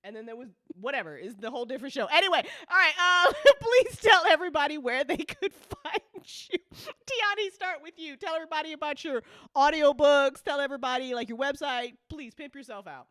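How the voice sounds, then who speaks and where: Animated, higher-pitched woman hosting a casual chat. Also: brisk, no room sound, studio quality. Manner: playful, teasing, emphatic, profane. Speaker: host and show creator. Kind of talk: casual after-show chat.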